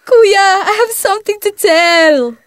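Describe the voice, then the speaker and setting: A woman's voice: extremely high pitch, animated, slow, no room sound, studio quality, full character voice. A woman in an amateur radio drama playing a dramatic little sister with a whiny, girlish manner. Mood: tearful, whiny.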